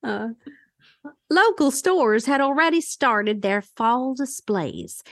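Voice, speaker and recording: Female podcast co-host, fairly high voice, dry close sound, playful casual fiction narration. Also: a bit bright, no room sound. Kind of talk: casual read-aloud of a novel, with joking.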